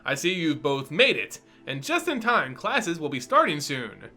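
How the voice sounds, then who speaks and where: A man voicing a composed, mysterious woman mentor with a calm, welcoming manner in a fantasy story reading. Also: a little high, unhurried, soft background music, full character voice. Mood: calm welcome.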